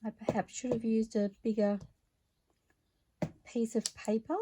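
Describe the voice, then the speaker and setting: A woman with a slightly croaky voice, chatting her way through a craft project step by step in an unhurried, friendly tone. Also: a little high, little pitch movement, background noise. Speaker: craft vlogger. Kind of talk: relaxed craft narration while working.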